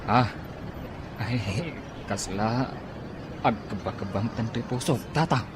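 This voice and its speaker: An actor with an Ilocano accent playing a lovestruck, pleading young tricycle driver in a radio drama. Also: male voice, lowish voice, slow, background noise. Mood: smitten.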